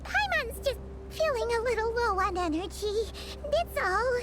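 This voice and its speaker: A woman voicing a tiny childlike fairy girl companion in a video game, squeaky and expressive. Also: unhurried. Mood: weary, reassuring. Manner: refers to herself in third person, expressive. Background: game music.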